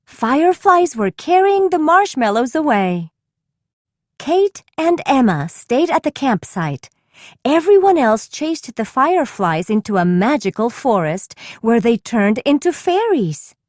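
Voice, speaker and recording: A woman narrating a children's storybook read-aloud in an expressive, deliberate storytelling manner. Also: high-pitched, no room sound, clean studio sound.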